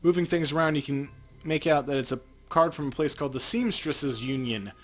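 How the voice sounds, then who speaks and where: A man's voice: fairly high pitch, a little fast. A man co-hosting a let's play, reading game text like a narrator with casual asides.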